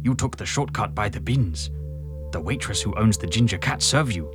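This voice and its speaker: A man's voice: fairly low pitch, lively intonation, moderate pace, over music, close to an everyday voice. A man voicing an eager, nosy male pet dog, chattering breathlessly.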